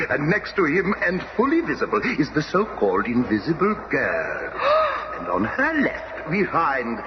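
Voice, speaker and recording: An actor playing a pompous, taunting villainous stage magician in declamatory 1970s superhero radio drama style. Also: male voice, on the high side, wildly swooping pitch, unhurried. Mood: smug showmanship. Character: boastful, theatrical villainous stage magician, grandiose and mocking. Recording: muffled, noisy 1970s radio drama recording.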